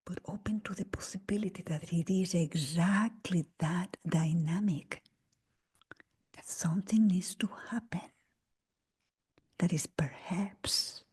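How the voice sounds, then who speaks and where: Hushed, breathy woman guiding meditation slowly. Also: lowish voice. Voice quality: breathy, husky, near-whisper. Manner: gentle, unhurried, with long pauses between short phrases. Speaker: meditation teacher leading a guided meditation. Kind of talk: slow guided meditation talk.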